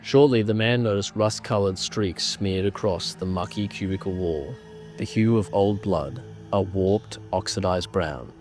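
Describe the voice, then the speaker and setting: A man narrating a scripted horror story in a measured, dramatic manner. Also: low voice, a little mellow, faint music behind.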